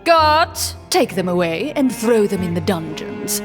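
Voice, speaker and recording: A woman's voice: medium pitch, very animated, a little slow, long reverb tail, music in the background. A woman playing a formal, theatrical game master who narrates and voices a scheming courtly figure in a video game. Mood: coldly dismissive.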